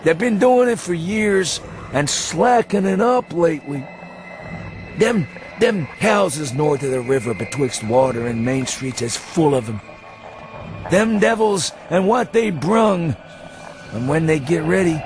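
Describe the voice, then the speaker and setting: A high-pitched old rural New England man, rambling and insistent as he nurses a dreadful secret. He drags his words out in a folksy drawl, full of dread, in a radio horror tale with faint music behind him. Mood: fearful, ominous. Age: older. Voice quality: shrill when agitated. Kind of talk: dramatised horror reading for radio.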